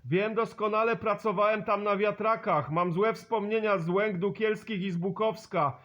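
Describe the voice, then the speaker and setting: A man's voice: high-pitched, steady tone, normal speed, fairly bright tone, slight background noise. A man working as a CB radio operator, calling other stations in chatty, joking, courteous radio talk. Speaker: amateur CB radio operator, channel host. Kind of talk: CB radio contact calls with radio jargon. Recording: dull lo-fi CB radio recording.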